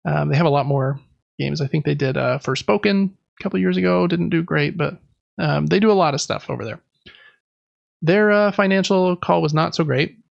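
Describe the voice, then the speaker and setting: A man with a slightly high voice and a crackly fry runs through news as a podcast host. His delivery is informal and conversational, like casual commentary among friends. The audio is a little dull and lo-fi. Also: moderate pace.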